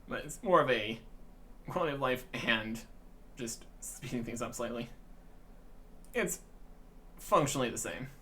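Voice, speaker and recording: Male let's player, mid-pitched, understated and rambling, talking casually through a game. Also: a bit bright. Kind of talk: casual gameplay commentary.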